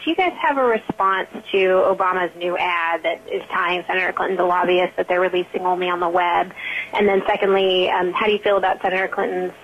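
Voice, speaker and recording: A woman with a fairly low voice on a dull phone line with faint hiss. She asks press questions casually, as a newspaper reporter would. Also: fairly even intonation, brisk. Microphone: telephone conference call.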